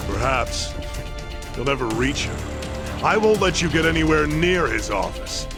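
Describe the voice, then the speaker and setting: Casting: cold, threatening gangland enforcer for a crime game, slightly high pitch, very lively inflection. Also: male voice, unhurried, music in the background. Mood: cold menace. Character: cold, menacing male yakuza clan enforcer. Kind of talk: dubbed video game cutscene acting.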